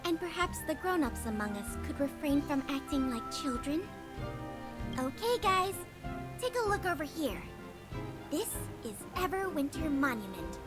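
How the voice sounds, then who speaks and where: A high, animated voice for a strict young officer in a video game who plays tour guide. She talks in a brisk, bossy, explanatory way, and game music sits behind her.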